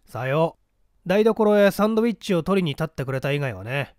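A man's voice: fairly high pitch, lively intonation, fairly quick, darkish tone, no echo, studio quality, close to an everyday voice. A man voicing a blunt, hot-headed newspaper reporter giving his account curtly in a mystery reading. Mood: recounting. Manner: curt, defensive. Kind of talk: dramatic storybook reading of a mystery.

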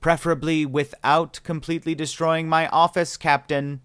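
A man reading an audiobook, voicing a calm, condescending male alien scientist with polite, mocking, unhurried speech. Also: a little high, clear, resonant tone, no echo, studio quality, pitched above the performer's own voice, lightly acted. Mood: irritated, lecturing.

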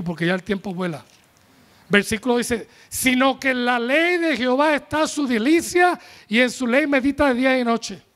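A man in his forties preaches from the pulpit of a church tent, his voice sitting very high for a man. He holds a handheld microphone and speaks in an animated, joking way, turning to the congregation for responses. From United States. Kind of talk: sermon.

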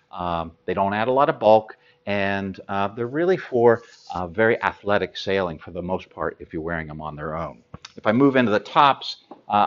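An American man in his fifties presenting gear as an expert, explaining informally and haltingly to camera. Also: lowish voice, fairly bright tone, lo-fi sound. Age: 50s.

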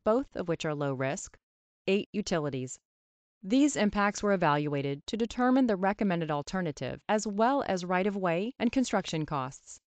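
A woman reads a scripted presentation in an even, formal manner. Her voice sits at a middle pitch and is recorded dry and close. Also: dry recording.

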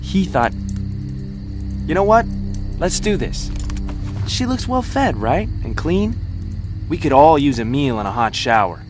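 A man's voice: fairly high pitch, sing-song, measured pace, pauses often, over music. An actor playing a hopeful, easygoing younger man survivor in a video game drama. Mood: reasoning, hopeful.

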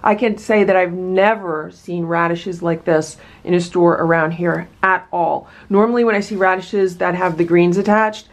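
A woman's voice: fairly low pitch, steady tone, moderate pace, few pauses. A woman in casual vlog talk, chatty and enthusiastic as she unboxes a farm box at home. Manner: enthusiastic, chatty, many asides. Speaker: vlogger unboxing a produce box.

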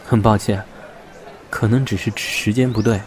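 A man acting a reserved, guarded young man in a modern audio drama, speaking with earnest restraint and gentle firmness. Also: lowish voice, steady tone, normal speed, some chatter behind, lightly acted. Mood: apologetic. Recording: music under the voice.

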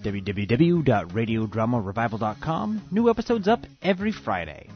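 A man's voice: medium pitch, very animated, a little fast, highs cut off, faint music behind. A man reading a scripted promo as host of a show.

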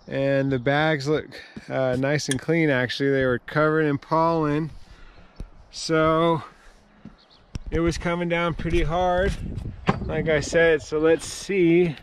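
A man in his thirties casually reviewing gear outdoors beside his van, relaxed and full of fillers. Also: a little high, slight background noise. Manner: relaxed, filler-heavy, easygoing. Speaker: vlogger.

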